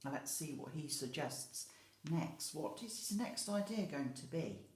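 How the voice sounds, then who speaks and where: A woman's voice: low-pitched, expressive, a little slow, darkish tone. A woman infant school teacher telling a story to young children, explaining simply and speaking to them directly. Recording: roomy, echoey sound.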